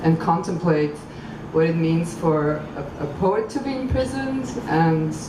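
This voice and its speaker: Woman from Croatia, low voice, slow and halting, poetry reading, distant mic, echoey outdoor space with traffic noise. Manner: halting, thoughtful spoken intros, measured reading. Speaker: poet reading at a public event. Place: outdoor public venue.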